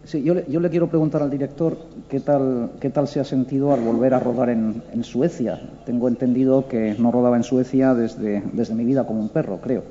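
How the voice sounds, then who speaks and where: A man's voice: mid-range voice, steady tone, a little fast, few pauses, dark tone. A man reporter asking a question at a press conference, repeating words as he goes. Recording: dull lo-fi sound with faint noise.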